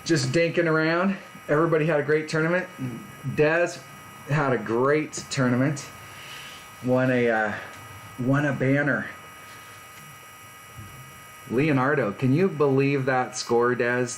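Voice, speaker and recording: A man's voice: medium pitch, fairly even intonation, unhurried, pauses often, a little hiss or hum, poor audio. A man in his fifties chatting casually and rambling on a live stream from his garage. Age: 50s. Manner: rambling, laid-back, self-deprecating. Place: home garage.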